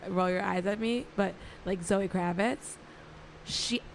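A slightly raspy young woman with plenty of vocal fry co-hosts a relaxed podcast conversation. She is gossipy and agreeable, often repeating phrases for emphasis, with faint noise in the background. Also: mid-range voice, slow pace. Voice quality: a little raspy. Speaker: podcast co-host. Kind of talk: casual chat.

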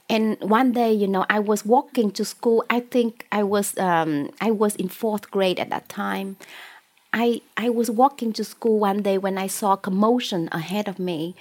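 Soft-spoken Vietnamese-accented woman. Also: medium pitch. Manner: hesitant, many fillers and restarts. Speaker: author and poet. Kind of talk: conversational interview.

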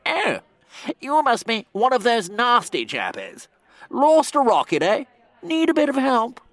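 A man's voice: very high-pitched, wildly swooping pitch, measured pace, a bit bright, dry recording, pitched above the performer's own voice, heavily acted. A man voicing a jolly, eager ex-army pilot in a comic story, with an upper-class British accent and a clipped, hearty military manner. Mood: breezy, inquisitive.

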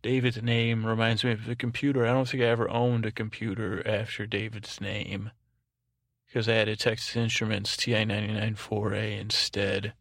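A man's voice: on the low side, moderate pace, gravelly, dry recording, studio quality. A man hosting a bedtime podcast in a soothing, soporific drone, meandering and stumbling through his monologue as he interrupts himself. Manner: droning, monotone. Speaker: podcast host.

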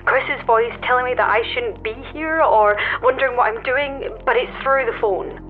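A woman's voice: on the high side, moderate pace. A woman playing an uneasy, hesitant explorer of a haunted tower in a naturalistic audio drama, heard through a telephone filter. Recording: tinny phone line with faint music.

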